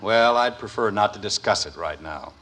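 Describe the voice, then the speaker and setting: Grumbling radio dad, swooping pitch. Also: male voice, expressive, moderate pace, a bit bright. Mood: exasperated. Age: middle-aged. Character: grumbling, stubborn middle-aged suburban father and husband. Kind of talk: 1950s radio sitcom acting. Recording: hissy old 1950s radio broadcast.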